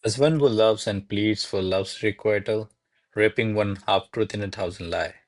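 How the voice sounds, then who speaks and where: A man's voice: fairly low pitch, normal speed, no room sound, lightly acted. A man playing a courtly, ardent servant in a classical radio play, speaking in a formal, florid, deferential manner. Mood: courtly wooing. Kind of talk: stage-style radio play acting of classical verse.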